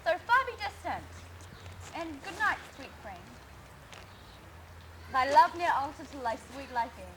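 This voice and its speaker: A gentle young lover, played by a woman, keeping a modest distance in soft old verse. Her voice sits very high, with steady outdoor noise behind. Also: unhurried, pauses often. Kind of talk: Elizabethan verse drama.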